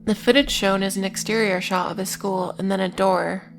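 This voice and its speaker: A woman's voice: medium pitch, fairly even intonation, normal speed, creaky. A woman narrating a scripted video essay in an informal way, with casual, wry asides amid plain recapping. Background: music.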